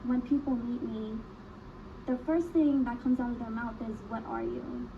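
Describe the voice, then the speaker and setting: A woman from New York talks earnestly in a documentary interview. Her voice sits fairly high and comes through tinny, with clear noise behind it. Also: big pitch swings, normal speed. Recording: tinny, noisy documentary clip.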